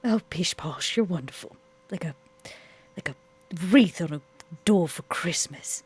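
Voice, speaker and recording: A woman's voice: fairly low pitch, expressive, unhurried, darkish tone, husky, exaggerated voice. A woman playing a genteel, old-fashioned female vampire in a tabletop game, with prim, quaint phrasing over music. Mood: fond. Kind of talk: in-character tabletop roleplay.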